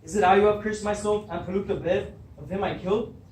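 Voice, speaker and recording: A man's voice: high voice, steady tone, fast talker, a little mellow, natural voice. A young man acting a troubled, hot-tempered king in a readers theatre Greek tragedy, full of fear and guilt. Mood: grave, recounting. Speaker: student actor. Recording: distant echoey room recording with background noise.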